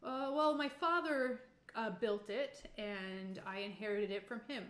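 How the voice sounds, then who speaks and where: A woman's voice: a little high, measured pace, a bit bright, reverberant. A woman playing a nervous, evasive middle-aged man who owns a theatre, speaking hesitantly and defensively in a tabletop game. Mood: flustered.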